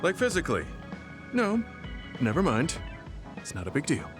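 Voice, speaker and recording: A hesitant, casual young man from an anime game. His awkward delivery swings widely in pitch and sits a bit high, with music clearly underneath. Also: wildly swooping pitch, normal speed. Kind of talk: English anime game voice acting.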